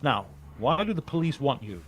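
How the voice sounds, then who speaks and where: An actor playing a cool, shrewd adventurer who leads a team of bodyguards in a vintage radio drama, decisive and wry. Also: male voice, mid-range voice, sing-song, moderate pace, fairly bright tone, husky.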